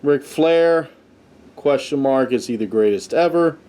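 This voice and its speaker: A man's voice: medium pitch, measured pace, dark tone. A man hosting a podcast with casual, offhand, unscripted commentary. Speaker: podcast host, wrestling fan reviewer.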